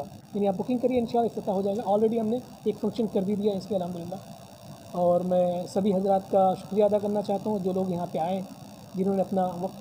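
A man speaks at a press table in a decorated hall, his voice very dark and mellow. He talks formally and promotionally, dropping religious phrases often. A faint background hiss sits under the voice. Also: high-pitched. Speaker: convention hall representative. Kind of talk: press conference answers. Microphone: many news mics on a table.

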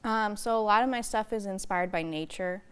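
A woman's voice: medium pitch, normal speed, a bit bright, clean tone. A local artist on a morning TV segment, a young American woman with a clean, resonant voice, explaining things casually. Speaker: interview guest, artist and art teacher. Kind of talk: casual interview answers. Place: TV news studio. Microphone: lapel mic.

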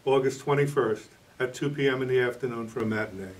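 A man's voice: mid-range voice, fairly even intonation, quick pace, distant mic. A man in his sixties answering questions as an author in an interview. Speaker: novelist and playwright being interviewed.

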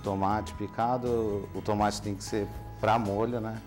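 A man explains a recipe step by step in a calm way, his voice a little low. He tags phrases with a questioning "né". Music plays behind him in the studio. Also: a little slow. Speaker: chef guest. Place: TV studio kitchen set. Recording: studio recording with music bed. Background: background music.

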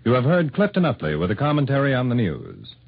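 A man's voice: mid-range voice, huge pitch swings, fast talker, creaky, slightly rough. Tinny vintage American radio announcer, male. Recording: tinny, narrow-band vintage 1940s radio recording.

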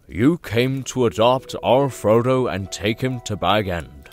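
A man playing a tired, shrewd old hobbit patriarch in an audio drama, speaking in a drawn-out, emphatic way. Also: on the low side, animated, soft background music. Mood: knowing. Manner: slow, drawn-out, emphatic delivery.

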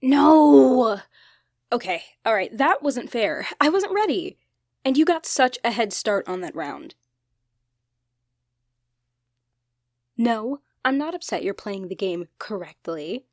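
A woman playing an expressive young genderfluid best friend in a conversational ASMR roleplay. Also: fairly high pitch, a little slow, no room sound, studio quality, full character voice. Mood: mock outraged. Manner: teasing, casual, expressive, apologetic. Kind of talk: ASMR roleplay, one-sided conversational acting.

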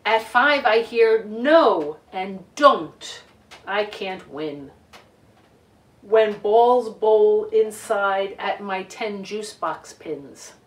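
A middle-aged woman reading a picture book aloud to children in an expressive, deliberate way with emphasized words. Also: medium pitch. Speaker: children's storytime reader. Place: living room couch, indoors. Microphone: camera a few feet away. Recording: roomy home recording, distant microphone.

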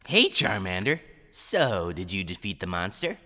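A man's voice: deep voice, big pitch swings, measured pace, bright, forceful tone, heavily acted. A man voicing a naive, cheerful plant-creature sidekick in a cartoon parody, earnest and overdramatic. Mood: friendly. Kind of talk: animated cartoon parody acting. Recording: music behind the voice.

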